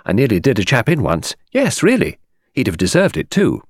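A man's voice: mid-range voice, wildly swooping pitch, fairly quick, no room sound, clean studio sound. A man reading aloud, voicing an impudent, chattering young actress in her late teens. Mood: chatty. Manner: chattering, teasing, theatrical. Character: impudent cheeky young woman, teenage actress.

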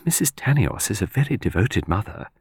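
A man's voice: mid-range voice, very animated, moderate pace, no room sound. Wanted: sentimental, easily flustered lady's companion, played by a man, hesitant and meek, very close dry audiobook sound. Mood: timid, sighing. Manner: hesitant, apologetic, murmuring. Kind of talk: audiobook character voice.

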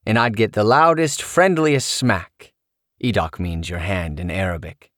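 A middle-aged man narrating his own memoir as an audiobook, telling it in an animated, expressive way. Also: on the low side, a little slow, dry recording, studio quality.

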